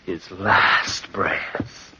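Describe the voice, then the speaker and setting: An actor playing a mad, obsessed murderer in 1940s radio horror style, shifting between breathy whispers and feverish outbursts. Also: male voice, sits low, steady tone, extremely slow. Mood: hushed, tense. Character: mad, obsessed young murderer narrating his crime. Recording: scratchy, muffled 1940s radio recording.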